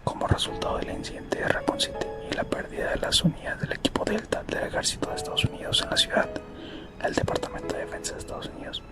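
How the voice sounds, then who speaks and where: Whispering man over music. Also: sits high. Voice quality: breathy, unvoiced whisper. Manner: hushed, steady reading. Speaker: ASMR channel host. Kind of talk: whispered ASMR, scripted narration of a fictional history.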